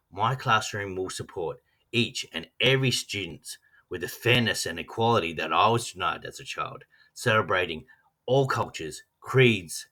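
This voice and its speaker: An Australian man giving a scripted personal narration as a trainee teacher, reading in an earnest, measured way. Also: deep voice, sharp tone. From Western Australia.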